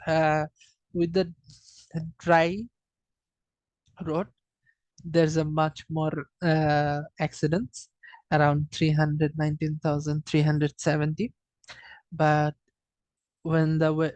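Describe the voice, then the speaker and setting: A student giving an informal data presentation in a halting, hesitant way, often restarting phrases. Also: mid-range voice, a little mellow, dry recording, poor audio.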